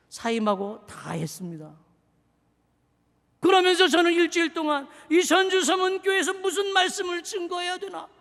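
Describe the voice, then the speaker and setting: A man preaching in a strikingly high, bright, forceful voice. He leaps from fervent shouts to quiet, confiding asides. The sound rings with the long reverb of a church sanctuary. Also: vocal fry. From Seoul. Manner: dramatic preaching, swinging between shouted exhortation and hushed asides. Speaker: pastor. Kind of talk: sermon.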